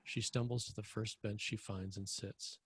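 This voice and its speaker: A man reading screenplay stage directions as scripted narration, with a husky, gravelly voice. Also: on the low side, fairly even intonation, moderate pace, no room sound.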